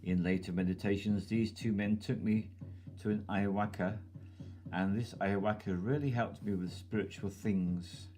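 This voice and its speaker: A man's voice: fairly low pitch, fairly even intonation, normal speed, a little mellow. A man hosting his channel, reading a listener's email aloud over music. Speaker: channel host.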